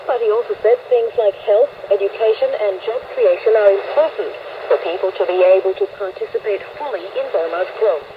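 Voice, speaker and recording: A radio newsreader delivering a scripted news bulletin. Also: mid-range voice. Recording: noisy shortwave radio, narrow phone-like band.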